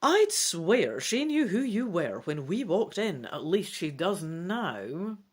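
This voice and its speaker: A woman's voice: on the low side, very animated, slow pace, a little mellow, breathy edge, full character voice. A woman voicing a suspicious female elf companion, wary and pointed, in a dramatic storybook reading.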